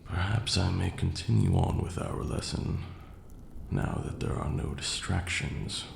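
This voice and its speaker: A man playing a stern, aloof vampire lord in an ASMR roleplay, speaking in a formal, haughty way with a gravelly, breathy near-whisper. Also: low-pitched, full character voice. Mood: patient, instructive. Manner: formal, haughty, slow scolding lecturing delivery. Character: stern aloof ancient male vampire lord, grudgingly caring. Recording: roomy echo, clear background noise.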